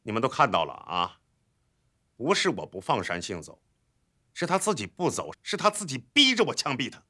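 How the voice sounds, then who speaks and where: An actor in a TV drama playing a bossy, scheming military commander who bargains and threatens. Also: male voice, mid-range voice, wildly swooping pitch, moderate pace, pushed and bright, heavily acted. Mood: exasperated. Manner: commanding, haggling, bullying. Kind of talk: Chinese TV drama acting.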